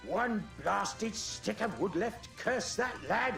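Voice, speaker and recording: A bullying forge boss in a video game, high and creaky in voice. He scolds with sour sarcasm in a roomy echo, over music. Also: animated, slow pace, full character voice. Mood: grumbling. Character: bullying, ill-tempered foreman of a forge.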